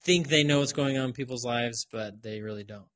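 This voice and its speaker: A man's voice: medium pitch, brisk, a bit bright. A man hosting a casual podcast from his college classroom, joking and laughing often as he questions his students. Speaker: podcast host and college instructor.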